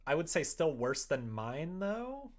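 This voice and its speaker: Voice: mid-range voice, sing-song, measured pace. A streamer chatting casually and informally, often acting out little imagined exchanges in conversation. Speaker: streamer chatting while making a visual novel. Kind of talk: casual livestream chat.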